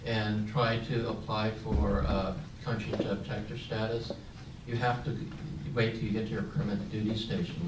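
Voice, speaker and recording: An older man shares a personal story as a veteran on a panel, pausing often with fillers and restarting his sentences. His voice sits fairly low, and the mic catches him from some distance. The room is echoey, and a clear layer of background noise runs under his speech. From San Francisco, United States. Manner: reflective, with frequent fillers and restarts.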